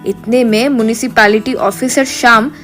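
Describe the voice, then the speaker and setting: A woman narrates a scripted comic moral story. Her voice sits a little high. Soft music plays behind her. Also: steady tone, normal speed.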